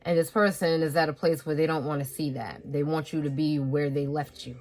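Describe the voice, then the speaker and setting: Blunt, lower-voiced woman reading tarot. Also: steady tone, brisk, darkish tone. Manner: frank and blunt, with frequent swearing and laughing asides; repeats phrases for emphasis. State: slight head cold, sniffling. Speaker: tarot reader.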